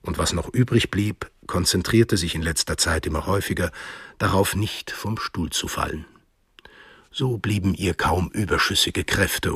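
A man's voice: sits low, a bit bright, slightly rough, no echo, clean studio sound. A man reading scripted literary narration as the narrator of a radio play.

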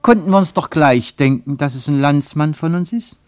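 A man's voice: fairly high pitch, moderate pace, no echo, pitched above the performer's own voice, close to an everyday voice. A man voicing a startled elderly East German pensioner on holiday, stammering and colloquial. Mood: excited, relieved.

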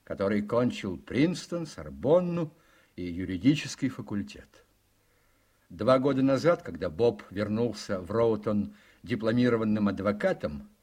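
A man reading dramatic scripted narration for a radio play in an expressive, literary manner. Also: medium pitch, breathy edge.

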